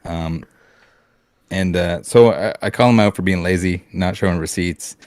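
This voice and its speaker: Brief: low-pitched Canadian man, blunt casual podcast co-host, dry close sound. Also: moderate pace, darkish tone, dry recording. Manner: blunt, heated ranting with swearing and restarts.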